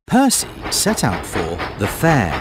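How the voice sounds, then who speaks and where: Animated male children's game narrator over music. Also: on the low side, takes it slowly. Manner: encouraging, clearly enunciated storytelling. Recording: music mixed under the voice.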